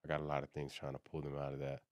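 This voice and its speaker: A young man with an extremely deep voice chats easily as a podcast guest. His talk is loose and friendly, peppered with "bro" and "you know what I mean". The sound is close and dry, with little room around it. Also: monotone, very fast, a little mellow, no echo. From South Sudan. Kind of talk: casual podcast chat.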